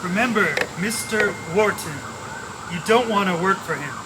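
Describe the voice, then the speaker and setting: An actor playing a coolly threatening crime boss who gives deliberate orders in an old-time radio detective drama. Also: male voice, sits high, natural voice. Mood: cool menace. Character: menacing male crime boss giving cool orders. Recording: noisy, hissy radio play recording.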